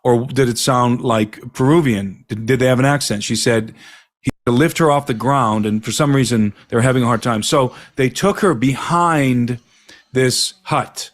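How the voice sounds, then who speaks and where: A man's voice: mid-range voice, normal speed. A man, an investigator, earnestly recounting a witness's account in detail, often repeating himself as he gathers his words. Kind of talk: recounting an interview.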